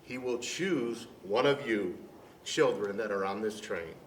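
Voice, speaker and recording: A man's voice: on the low side, a little slow, voice pushed down, close to an everyday voice. A man voicing a hearty, commanding train conductor in a storybook, proclaiming his words with emphasis. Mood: informative. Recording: echoey room, distant mic, background noise.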